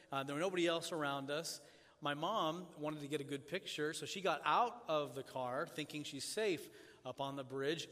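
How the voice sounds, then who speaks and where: Bright-voiced pastor in a reverberant church. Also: male voice, a little high. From Tanzania. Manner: earnest, measured preaching cadence. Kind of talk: sermon with opening prayer. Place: church sanctuary.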